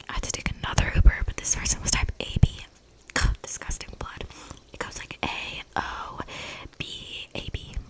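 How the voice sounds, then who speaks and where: A woman's voice: lightly acted, poor audio. A woman playing an eccentric, chatty young vampire in a whispered, slangy ASMR roleplay, breathing close to the microphone. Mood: rambling, confiding. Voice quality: breathy whisper with creaky edges. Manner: rambling, casual slangy delivery with many likes. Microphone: close microphone with audible breathing.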